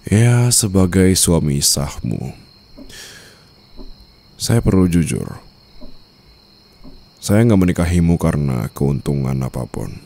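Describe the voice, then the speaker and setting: A man playing a menacing, teasing mafia boss newly married, in an ASMR romance roleplay, with a gravelly, murmuring voice and a smug, formal, controlled manner. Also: warm tone, full character voice. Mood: cold, candid. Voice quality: very deep, gravelly, often dropping to a breathy murmur.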